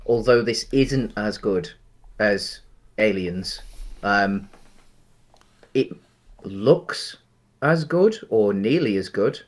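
A man's voice: fairly low pitch, lively intonation, slow, long pauses. A man in his thirties, co-hosting a casual film chat over a headset at home, talking with many ums and restarts. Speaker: podcast co-host. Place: home room, indoors.

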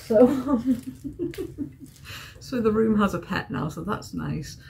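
Young woman, excitable rambling vlog chat, creaky voice, slightly hoarse, hotel room, faint hiss. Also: on the high side, slow. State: sore throat, hungover. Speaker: vlogger.

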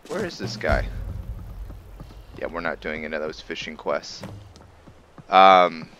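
A man giving casual video game commentary as a roleplay host, halting as he thinks aloud. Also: very deep voice, big pitch swings, a bit bright, slight background noise. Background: game music.

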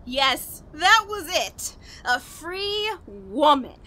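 A woman's voice: sits high, sing-song, drawn-out words, sharp tone, heavily acted. An actress playing a scheming, guilt-ridden murderous woman who confesses and argues in a radio horror drama. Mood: gloating, scheming.

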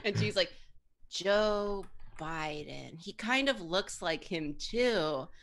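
A woman's voice: on the low side, lively intonation, slow, a bit bright. A woman co-hosting a live stream, telling funny anecdotes in casual chat and laughing often. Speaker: stream co-host.

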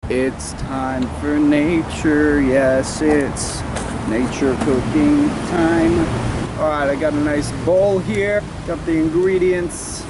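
A young man speaks slowly and haltingly, like a deadpan spoof of a nature host. His voice sits a little high for a man. He is recorded outdoors in a backyard, with clear noise behind him. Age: young adult. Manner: halting, deadpan mock-reverent delivery. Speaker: host of a comedy cooking show. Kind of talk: mock nature-documentary vlog to camera. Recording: noisy outdoor camera audio, background hiss.

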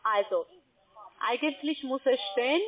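Lady phone agent, broken grammar, high voice, phone-line sound. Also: measured pace. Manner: instructing step by step, broken grammar. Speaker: scam call center agent. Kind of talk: phone call.

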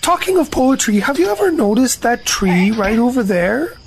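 Woman playing a naive, amiable little-brained bear, highish. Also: sing-song, a crowd in the background. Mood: distracting, innocent. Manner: halting, earnest, simple. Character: naive, amiable, slow-witted male bear. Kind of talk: children's storybook audio drama.

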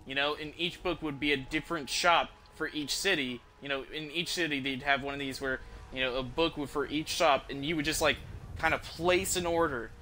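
A man's voice: a little high, steady tone, a little fast, sharp tone, a little hiss or hum. A young man giving casual, profane video game commentary while chatting with his stream viewers. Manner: profane, informal slangy talk. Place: indoor room, streaming from a desk. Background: video game music.

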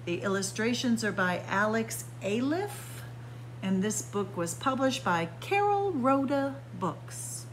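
Woman reading slowly, expressively to young children. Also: a little high, slight background noise, rough recording. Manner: expressive sing-song delivery with drawn-out, emphasized words. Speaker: children's librarian and storyteller. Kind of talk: picture book read-aloud for young children. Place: library room with bookshelves, indoors.

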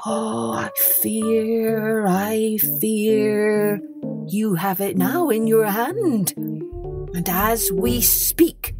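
A woman voicing a sly male peasant who speaks in a hushed, fearful, conspiratorial way, with music underneath. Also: medium pitch, animated, slow.

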